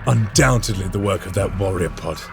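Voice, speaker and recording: A man playing a battle-hardened, noble space soldier lieutenant in a game, gravelly, formal and commanding, with very loud music around him. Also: sits low, a little fast. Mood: grim, commanding. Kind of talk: dramatic game acting.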